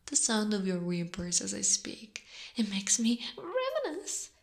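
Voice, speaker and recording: A woman voicing a seductive, possessive spider monster in a breathy, teasing ASMR whisper. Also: medium pitch, wildly swooping pitch, heavily acted. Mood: seductive, hungry. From Italy. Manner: slow, drawn-out, teasing. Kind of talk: ASMR roleplay, mostly whispered.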